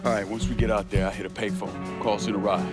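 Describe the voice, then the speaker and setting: An actor playing a tough, protective young crew leader, terse and commanding, in a video game. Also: male voice, fairly low pitch, flat delivery, quick pace, close to an everyday voice. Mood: gruffly urgent. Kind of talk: cinematic video game acting. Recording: voice buried under loud music.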